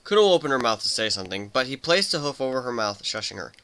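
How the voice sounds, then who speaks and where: Story narrator, scripted dramatic reading, animated intonation, bright voice. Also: mid-range voice, fast talker. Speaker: fan fiction narrator.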